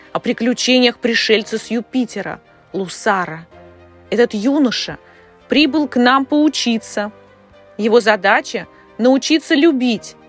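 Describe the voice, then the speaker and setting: A woman in her forties hosting a podcast, speaking in a friendly way straight to her listeners and drawing out the words she wants to stress. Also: a little high. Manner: expressive, lingering on key words. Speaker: podcast host, children's author. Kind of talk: friendly direct address to listeners.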